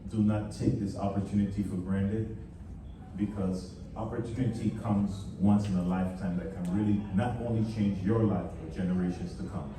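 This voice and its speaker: A man with a somewhat low voice speaks earnestly to a live audience, urging them on and building short lists. He is far from the mic in an echoing hall, with steady noise behind. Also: normal speed. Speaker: panel speaker. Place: event hall.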